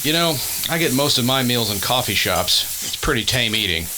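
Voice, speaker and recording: A man playing a steady, reassuring scientist in a radio drama, measured and explanatory in his delivery. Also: mid-range voice, heavy background noise, lightly acted. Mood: calm, easy.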